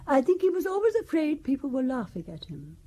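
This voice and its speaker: A woman's voice: high voice, huge pitch swings, fairly quick, warm tone. An older woman playing a genteel, chatty French widow in an audio drama, confiding and wistful as she reminisces. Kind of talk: audio drama acting, conversational storytelling.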